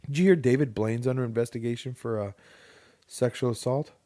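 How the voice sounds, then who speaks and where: A man co-hosts a laid-back podcast, reading news aloud and tossing in sarcastic jokes. His voice sits at an ordinary middle pitch, and the room sound is dry with almost no echo. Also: a little fast, mellow. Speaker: podcast co-host. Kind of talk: casual podcast chat.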